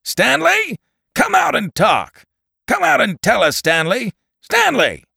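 A man voicing a menacing male figure who shouts booming demands over a radio from the sky in an audiobook story. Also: wildly swooping pitch, slow, vocal fry, husky, no room sound, clean studio sound, full character voice. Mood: menacing, demanding.

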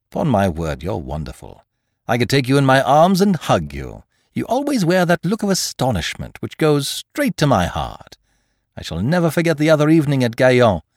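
A man reading aloud as a cocky, playful gentleman thief who teases and mocks in a theatrical way. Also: gravelly, no echo, clean studio sound, heavily acted. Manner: teasing, theatrical swings in pitch. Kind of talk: audiobook character reading.

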